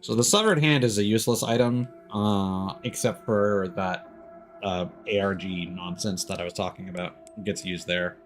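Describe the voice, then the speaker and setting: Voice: low voice, steady tone, normal speed. A game streamer giving casual, profane live commentary with sardonic, joking asides. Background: video game music and sounds.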